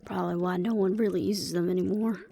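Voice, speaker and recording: A woman's voice: on the low side, fairly even intonation, fast talker, vocal fry, dark tone, slight background noise. A woman playing a sardonic, impatient and proud female vampire in a fantasy audio roleplay, speaking with sarcasm and disbelief. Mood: dry. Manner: sarcastic, incredulous.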